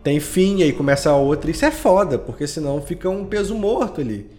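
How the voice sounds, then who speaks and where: Podcast guest: Brazilian male, slightly high-pitched, loose slangy conversational delivery, soft music bed. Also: expressive, a little fast. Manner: informal, slangy. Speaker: podcast creator being interviewed. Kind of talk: casual chat.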